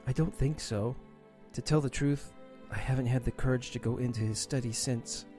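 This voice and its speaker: A man's voice: medium pitch, moderate pace, dark tone, faint music behind, close to an everyday voice. Man voicing a grieving, vulnerable young woman. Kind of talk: amateur audio drama acting.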